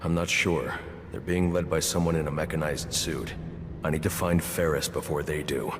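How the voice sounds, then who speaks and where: An actor playing a grave, terse, protective billionaire vigilante in a video game. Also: male voice, rumbling low, fairly even intonation, normal speed, noisy background. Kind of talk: video game dramatic acting. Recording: loud dramatic music behind.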